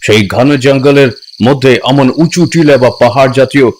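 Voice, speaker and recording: An actor playing a cautious expedition member who explains dangers in an audio adventure story. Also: male voice, medium pitch, moderate pace, darkish tone, natural voice. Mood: cautious, explanatory. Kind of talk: dramatised audio story dialogue.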